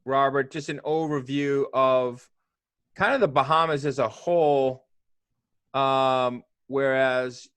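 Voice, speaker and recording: A man co-hosting a video call interview, asking relaxed, conversational questions. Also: medium pitch, slow, clean tone. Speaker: co-host. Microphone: video call microphone.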